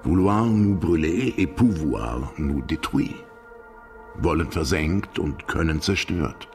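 A world-weary lover in a radio play, resigned to death. His voice sits extremely low and crackles with gravel, brooding and deliberate over music. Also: animated, a little mellow, full character voice. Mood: fatalistic, philosophical. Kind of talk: German radio drama acting.

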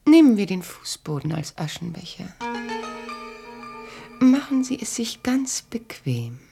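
An actress playing a lonely, seductive, shrewd queen who longs for her husband, breathy and coquettish, in a German radio play. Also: medium pitch, very animated, measured pace, music in the background. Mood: wistful, seductive. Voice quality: breathy, creaky. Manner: languid, coquettish.

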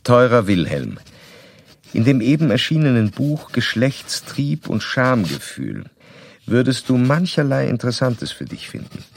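An actor playing a self-assured psychoanalyst in a radio drama, speaking in measured, formal, didactic sentences. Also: male voice, mid-range voice, big pitch swings, vocal fry, slight background noise. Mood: formal, reflective. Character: male psychoanalyst doctor, clinical, probing, self-assured. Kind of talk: radio drama dialogue and letter monologue.

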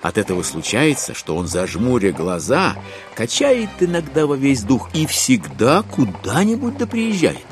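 A man's voice: medium pitch, wildly swooping pitch, unhurried, faint music behind. A man narrating a classic literary tale expressively as the narrator of an audio drama.

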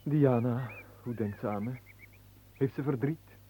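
Radio play nobleman, male actor: fretful, questioning, wistful lover with a middle-pitched voice. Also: a little slow, dark tone, close to an everyday voice. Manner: pensive, questioning. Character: lovesick, fretful young nobleman, master of a servant. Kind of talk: theatrical radio drama acting. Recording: radio play with clear background noise.

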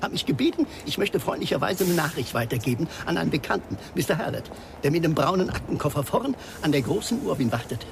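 A man's voice: on the high side, big pitch swings, quick pace, creaky, few pauses, background noise. An actor playing a chatty, nosy male passer-by who rambles eagerly in a German radio crime drama. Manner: garrulous, rambling, ingratiating.

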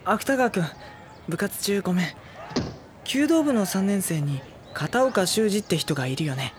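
An actor playing a gentle, worrying high-school boy in a Japanese audio drama, soft-spoken and polite. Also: expressive, unhurried, noisy background. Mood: puzzled. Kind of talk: Japanese audio drama acting with introspective narration.

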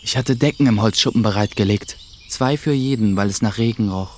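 A man playing an earnest young sorcerer's apprentice who narrates his own story in a measured way for a radio play. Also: lowish voice, slight background noise, natural voice. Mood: narrating, measured. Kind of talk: scripted first-person narration in German radio play. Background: music.

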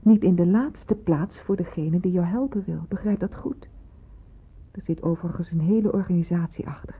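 A woman's voice: lowish voice, normal speed, breathy edge. An actress playing a stern but motherly senior nurse in a Dutch radio play, speaking with persuasive authority. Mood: firm, admonishing. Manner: authoritative, admonishing. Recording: muffled, phone-like old radio recording.

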